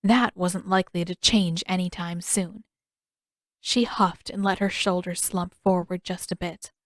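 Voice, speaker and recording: A woman's voice: on the low side, steady tone, measured pace, dry recording, clean studio sound. A woman reading a scripted story aloud as an audiobook narrator. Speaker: fan fiction author reading own story.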